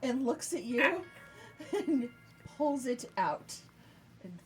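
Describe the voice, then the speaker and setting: A woman's voice: high-pitched, big pitch swings, takes it slowly. A woman narrating a tabletop game as game master in an informal, giggly manner. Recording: music behind the voice. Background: atmospheric synth music.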